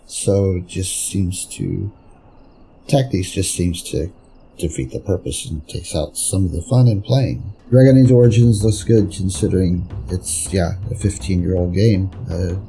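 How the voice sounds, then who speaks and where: A man's voice: low voice, a little slow, creaky, dark tone, slight background noise, poor audio. A man giving casual gameplay commentary, rambling and thinking aloud as he plays. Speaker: gameplay streamer and reviewer. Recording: game music behind the voice. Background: video game music.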